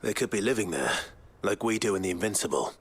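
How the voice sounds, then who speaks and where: An actor with a British accent playing a stoic, dry-witted warrior hero in a video game. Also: male voice, fairly low pitch, animated, quick pace, a bit bright, breathy edge. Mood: resolved.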